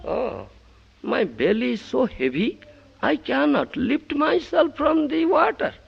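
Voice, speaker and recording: A man's voice: extremely high pitch, big pitch swings, slow pace, creaky, slightly rough, pitched above the performer's own voice, heavily acted. A man voicing an amazed male disciple complaining he is too full to move, on a dull lo-fi recording.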